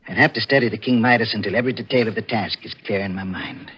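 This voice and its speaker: A man's voice: fairly low pitch, fast, husky. An actor playing a proud, courteous man in his seventies, a diamond cutter with an ominous streak, savouring his phrases theatrically in 1940s radio thriller style. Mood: musing, proud. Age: 70s. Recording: muffled, noisy vintage radio recording.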